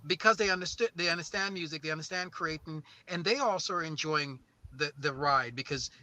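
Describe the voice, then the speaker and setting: A man's voice: fairly high pitch, brisk, bright, forceful tone, no echo. A man from St. Croix and New Orleans talking as an interview guest, telling stories in an earnest, motivational way and repeating phrases for emphasis. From born in St. Croix, raised in New Orleans. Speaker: guest, recording artist and label co-founder. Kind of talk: conversational interview, storytelling.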